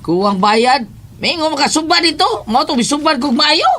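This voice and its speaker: An actor playing a grumbling, rough-talking man in a horror audio drama, blunt and complaining. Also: extremely high pitch, lively intonation, normal speed, sharp tone, full character voice. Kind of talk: horror radio drama acting.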